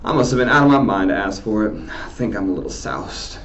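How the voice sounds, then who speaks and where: A man's voice: on the low side, big pitch swings, fast, fairly bright tone, muffled recording, slight background noise, pitched above the performer's own voice. A man voicing a cocky, wisecracking teenage greaser boy from a novel, with a taunting, slangy delivery. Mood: laid-back.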